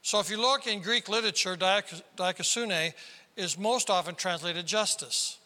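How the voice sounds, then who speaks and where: Squeaky-voiced tenor preacher in a hall. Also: male voice, normal speed. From South Dakota. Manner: emphatic preaching, rising to sudden loud bursts. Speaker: pastor. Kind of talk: sermon. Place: large church hall. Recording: reverberant hall.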